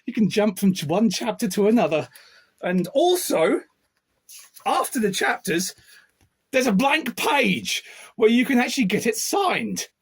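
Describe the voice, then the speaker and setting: A man's voice: sing-song, measured pace, husky. A man interviewing an author in a playful, joking way. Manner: playful, jokey, swings up and down in pitch. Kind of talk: casual, joking interview chat.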